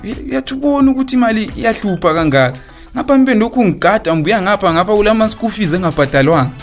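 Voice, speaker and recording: A man's voice: high voice, lively intonation, racing, faint music behind. A man with a Zimbabwean accent acting an evasive, philandering husband in a radio drama, stammering through his excuses. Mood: defensive, irritated. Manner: stammering excuses, switching into English phrases. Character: evasive philandering husband and father, smooth-talking, defensive.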